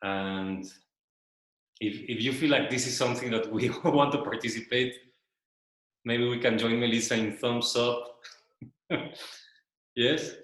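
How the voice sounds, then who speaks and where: A man whose first language is Spanish, giving a casual spoken presentation in a loft, talking slowly and searching for words, often repeating himself. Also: medium pitch, steady tone, fairly bright tone. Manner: slow, searching, repeats words while thinking. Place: loft room with a small audience. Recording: very echoey room.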